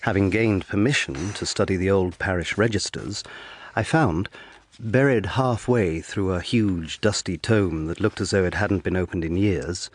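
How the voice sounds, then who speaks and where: An actor playing an earnest, curious young lawyer in a period audio drama, with a measured, literary, musing delivery. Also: male voice, sits low, slightly rough. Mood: musing, intrigued. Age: young adult. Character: earnest, curious young male lawyer recounting his tale.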